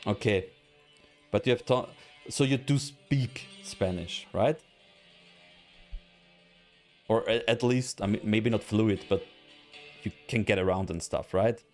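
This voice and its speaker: Austrian guy streaming a game, german accent, kinda low voice, lots of uhs and ums while he answers chat. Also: a little slow, a little mellow, soft background music. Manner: chatty, hesitant, many fillers and restarts, answering chat. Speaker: let's play streamer. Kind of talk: casual live gameplay chat.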